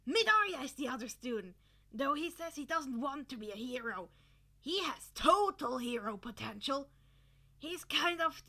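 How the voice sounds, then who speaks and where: An exuberant, teasing husband from an audio story, acted out by a woman. The voice is high and very bright, with punchy emphasis. He talks like an excitable, dramatic teacher. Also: heavily acted.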